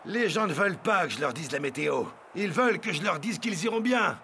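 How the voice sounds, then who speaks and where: High-pitched pompous cartoon weatherman. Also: animated, fairly quick, bright, forceful tone, a little hiss or hum, full character voice. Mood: smug. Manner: pompous, theatrical. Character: vain, scheming TV weatherman villain, self-important and melodramatic. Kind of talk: French cartoon dub acting. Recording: music behind the voice.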